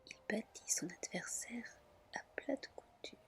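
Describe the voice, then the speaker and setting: A woman reading a tale aloud in a soft, soothing ASMR style, with deliberate phrasing. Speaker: storyteller, ASMR reader.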